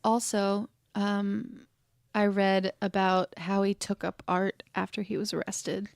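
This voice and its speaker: Woman, from Ohio, podcast co-host, casual chatty, smooth voice, dry room. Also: mid-range voice, little pitch movement, slow pace, dry recording. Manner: chatty, lots of "like" fillers. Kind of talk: casual chat.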